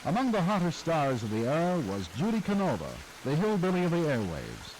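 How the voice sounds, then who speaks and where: A man's voice: a little high, wildly swooping pitch, a little fast, a little mellow, a little hiss or hum, poor audio. Wry male documentary narrator.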